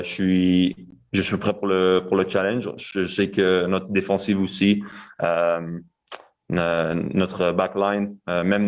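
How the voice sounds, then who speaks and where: A man's voice: sits low, smooth. A young man, a goalkeeper answering press questions with many fillers and restarts.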